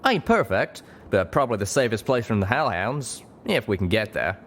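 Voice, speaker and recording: A man's voice: on the low side, very animated, normal speed, a bit bright, a little hiss or hum. A man voicing a folksy, cocky young male pegasus cowboy with a drawl in an audiobook reading. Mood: assuring.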